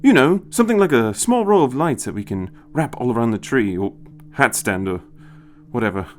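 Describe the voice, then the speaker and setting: A man's voice: medium pitch, lively intonation, normal speed, close to an everyday voice. A man voicing a curious, good-humoured male companion in a storybook, joking and wry. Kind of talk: dramatized storybook reading.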